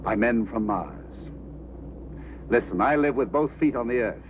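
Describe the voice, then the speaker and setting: A man's voice: mid-range voice, expressive, unhurried, natural voice. An American actor playing a skeptical, down-to-earth older man with a dry manner in a vintage film drama. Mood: sardonic. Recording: tinny, noisy old film soundtrack.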